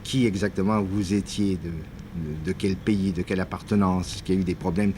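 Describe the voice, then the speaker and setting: A man interviewing a guest for broadcast, asking measured, probing questions. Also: sits low, fairly even intonation, mellow, a little hiss or hum.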